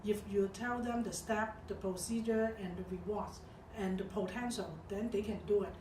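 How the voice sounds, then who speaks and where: A woman's voice: mid-range voice, fairly even intonation, darkish tone. A woman from Hong Kong with a Hong Kong accent, explaining tips step by step in a corporate training talk. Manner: explains in short numbered tips with filler pauses. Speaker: guest speaker on expansion. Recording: echoey room, mic at a distance, light noise.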